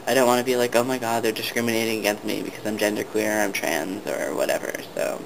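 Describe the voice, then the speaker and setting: A man's voice: lowish voice, quick pace, vocal fry. A young man talking casually to the camera, hesitant and full of ums and restarts. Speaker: vlogger. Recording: steady background noise.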